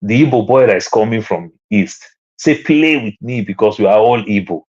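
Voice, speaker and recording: Low-voiced Nigerian man, lo-fi online call. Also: lively intonation, moderate pace, husky. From Lagos, Nigeria. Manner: storytelling, climbs higher and louder when making a point. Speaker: panel speaker. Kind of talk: online panel discussion. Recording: dull lo-fi online audio.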